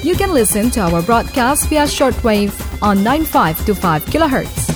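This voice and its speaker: A woman's voice: fairly high pitch, very animated, moderate pace. A woman station announcer, delivering a scripted radio ident. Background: prominent music.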